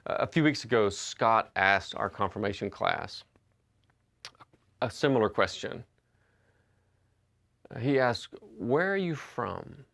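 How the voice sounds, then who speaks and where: A man, telling stories in a relaxed, conversational sermon. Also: on the low side, slow, vocal fry, long pauses. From Western North Carolina. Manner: conversational storytelling with frequent uh and restarts. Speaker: pastor. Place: church sanctuary.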